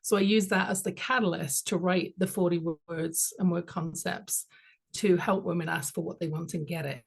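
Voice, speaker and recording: A woman from London with a London English accent, a guest coach telling her story in a measured, reflective way in a podcast interview. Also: on the low side, fairly even intonation, creaky, slightly rough. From England. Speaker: guest, confidence coach and author.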